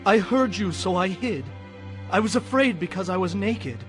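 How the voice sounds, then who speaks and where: A man's voice: high voice, animated, normal speed. An actor playing a frightened, guilty first man in a dramatized audio Bible, with an anxious, confessing manner. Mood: fearful, ashamed. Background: music.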